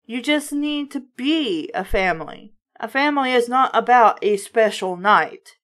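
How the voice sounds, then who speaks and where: A woman reading a book aloud in a casual, expressive way, breaking in with sarcastic, opinionated remarks. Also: on the high side, measured pace. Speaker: host reading children's books aloud with commentary.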